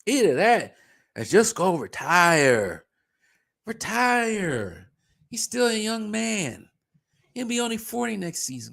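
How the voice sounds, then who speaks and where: A man giving a mocking, scornful basketball rant, his voice going hoarse. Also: high voice, fairly bright tone. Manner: mocking, scornful, pleading in jest. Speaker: sports commentator. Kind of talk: ranting basketball commentary.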